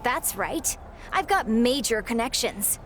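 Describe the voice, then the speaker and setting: A woman's voice: fairly high pitch, expressive, a little slow, slight background noise. An actress playing an eager, boastful young female wrestler in a video game cutscene, chatty and upbeat with a defiant edge.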